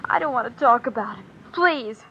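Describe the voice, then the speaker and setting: A woman's voice: fairly high pitch, animated, normal speed, close to an everyday voice. An actress playing a defiant, frightened sixteen-year-old girl in an old-time radio drama, with clipped, guarded answers. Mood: distressed. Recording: muffled old radio recording, faint noise.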